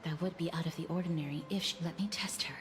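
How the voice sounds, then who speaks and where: A woman's voice: deep voice, fast talker, a little hiss or hum, natural voice. An actress playing a formal, wary young companion in a game, polite and careful in her wording. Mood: wary, stern. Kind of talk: dramatic game voice acting. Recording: game music behind.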